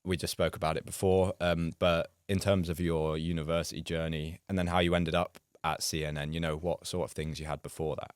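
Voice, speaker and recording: A man hosting a podcast interview, asking relaxed questions with short backchannels. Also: rumbling low, fairly quick, few pauses, clean tone, no room sound, clean studio sound. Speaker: podcast host. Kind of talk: casual interview.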